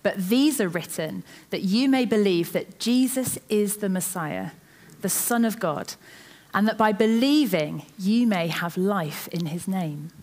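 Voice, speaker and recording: A woman's voice: mid-range voice, darkish tone. Chatty woman preaching. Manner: chatty, self-deprecating storytelling. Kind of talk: sermon. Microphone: microphone.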